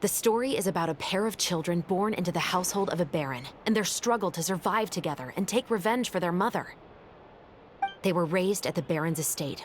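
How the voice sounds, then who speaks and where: A woman voicing a calm, composed female officer in a video game, speaking in a steady, matter-of-fact way. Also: on the low side, slight background noise, lightly acted. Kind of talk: game voice acting.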